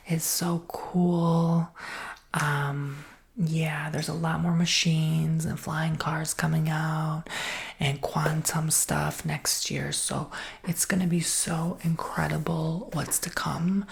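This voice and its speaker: A woman performs an ASMR roleplay as a soft-spoken salesperson. Her whisper is breathy and sits low, with very heavy vocal fry. She talks a customer through things in a reassuring way.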